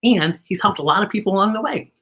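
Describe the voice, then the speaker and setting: An American woman hosting a children's story time, reading aloud and chatting in an expressive, encouraging way straight to young viewers. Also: medium pitch, fast, slightly rough. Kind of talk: live read-aloud with chatty commentary.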